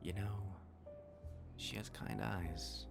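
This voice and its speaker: Catboy cafe waiter , male performer: low-pitched, gravelly, soothing and lightly teasing, ASMR roleplay. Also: fairly even intonation, slow pace, loud music behind the voice. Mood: playful.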